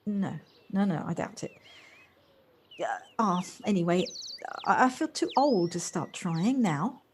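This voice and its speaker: A woman's voice: medium pitch, expressive, a little slow, a little hiss or hum, natural voice. An actress playing a polite, flustered, self-doubting city woman in an audio play, hesitant and apologetic as she talks. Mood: bashful, polite.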